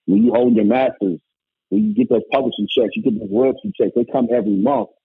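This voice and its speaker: A loud, emphatic man from Indiana talks over a thin, phone-like line, his voice gravelly and husky with a dry, close sound. His pitch leaps around as he tells his story. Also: steady tone, fast talker. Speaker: guest, former college basketball coach turned musician. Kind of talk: casual conversation.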